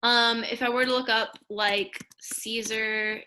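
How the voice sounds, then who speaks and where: A woman's voice: fairly high pitch, flat delivery, takes it slowly, sharp tone, a little hiss or hum. A young woman giving a casual slideshow lesson on speech research, chatty with many fillers. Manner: chatty, lots of "um" and "like". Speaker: speech and debate student coach.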